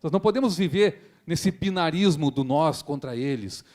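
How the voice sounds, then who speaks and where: A man's voice: on the high side, animated, moderate pace, darkish tone. A man, a public prosecutor giving a formal seminar speech in an earnest, rhetorical way with frequent tag questions. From Rio Grande do Sul, Brazil.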